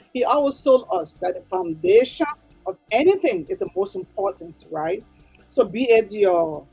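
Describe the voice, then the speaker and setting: A woman's voice: medium pitch, a little mellow, husky, rough recording. A woman with a Liberian accent, explaining things earnestly and emphatically as an interview guest. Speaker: interview guest, nonprofit executive director.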